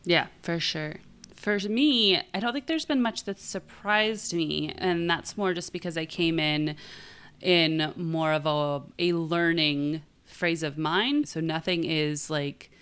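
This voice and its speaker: A woman's voice: low-pitched, takes it slowly, fairly bright tone, smooth. A woman co-hosting a writing podcast in a casual, conversational style. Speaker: podcast co-host, writing teacher.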